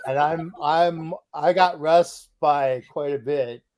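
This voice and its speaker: A panelist in their sixties chatting casually on a live show, in a deliberate, chuckling manner. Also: medium pitch, steady tone, a little mellow, no room sound. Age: 60s.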